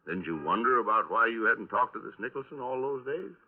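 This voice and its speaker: An actor playing a gruff police lieutenant in a radio crime drama, questioning with folksy, sardonic prodding. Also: male voice, medium pitch, expressive, a little fast. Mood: pressing. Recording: tinny band-limited old radio recording.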